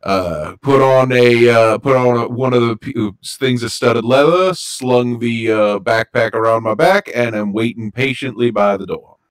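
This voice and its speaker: A man playing a tabletop role-playing game, describing his character's actions to the game master with many halting fillers. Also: mid-range voice.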